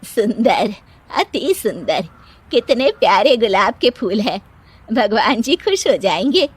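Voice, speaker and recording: Woman playing a good-natured, high-voiced older mother-in-law. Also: big pitch swings, slow, slightly rough, heavily acted. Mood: admiring. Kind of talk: animated cartoon story dialogue.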